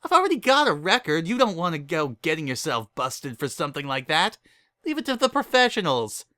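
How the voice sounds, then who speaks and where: A man's voice: on the high side, big pitch swings, a little fast, sharp tone, dry recording, studio quality, pitched above the performer's own voice. A man voicing a scrappy, drowsy, hard-drinking pony mother in a storybook, whiny and belligerent. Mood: cocky.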